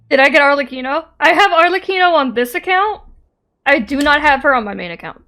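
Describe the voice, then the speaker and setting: High-pitched, animated woman streamer. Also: moderate pace, long pauses. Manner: playful, giggly, reading and answering chat. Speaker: game streamer. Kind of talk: casual livestream chat while gaming. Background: game music.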